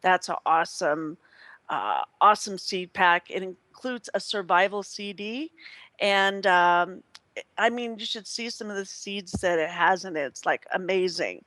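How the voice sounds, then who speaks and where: A woman's voice: on the low side, lively intonation, measured pace, fairly bright tone, no echo. Effusive, chatty woman radio host. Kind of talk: casual unscripted radio talk. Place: live internet radio broadcast.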